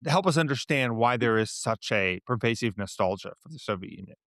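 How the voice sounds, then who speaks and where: A man's voice: mid-range voice, animated, fairly quick, a bit bright, no echo, studio quality. A man hosting a conversational podcast interview, attentive and putting in brief prompts.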